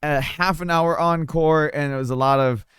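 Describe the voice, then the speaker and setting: A man talks over his screen in a loose, rambling way, his throat a little raw and scratchy. Beneath the roughness his voice stays smooth and resonant. The sound is close and dry, with no room echo, from a desk mic. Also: a little high, steady tone, moderate pace, fairly bright tone. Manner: rambling, many fillers and restarts. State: slightly hoarse, sore throat. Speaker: crypto mining YouTuber. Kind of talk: casual screen-share commentary. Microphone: studio desk mic.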